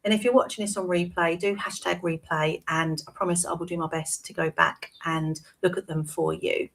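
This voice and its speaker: Croaky woman hosting a talk show. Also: lowish voice, little pitch movement, moderate pace, a bit bright, poor audio. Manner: chatty, tags points with "right?". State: hoarse, croaky. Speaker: wellbeing coach and show host. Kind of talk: live-streamed solo talk show.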